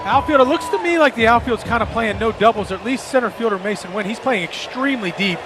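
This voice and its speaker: A man's voice: very high-pitched, quick pace, over music, crowd noise. An American man giving analytical, explanatory colour commentary on a live radio baseball broadcast. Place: ballpark broadcast booth.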